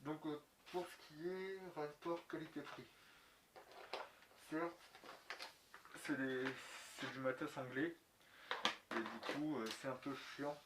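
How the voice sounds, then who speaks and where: A man's voice: a little high, slow pace, long pauses. A man giving a casual, unscripted product review in colloquial, slangy speech with some mild swearing. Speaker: motovlogger reviewing gear. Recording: echoey room, clicks and clear background noise.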